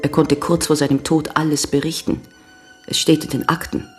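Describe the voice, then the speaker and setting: An actress playing a grave young American woman giving measured, sombre testimony in a German radio crime drama. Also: low-pitched, level tone, soft background music, close to an everyday voice. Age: young adult. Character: grave young American woman recounting her father's betrayal. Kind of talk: German radio play acting.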